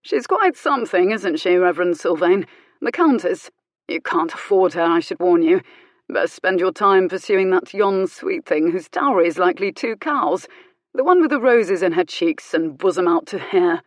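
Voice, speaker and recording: A woman's voice: fairly low pitch, animated, normal speed, dry recording. A woman voicing an arrogant, mocking lord in an audiobook romance, with a bored London drawl. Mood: smug, mocking.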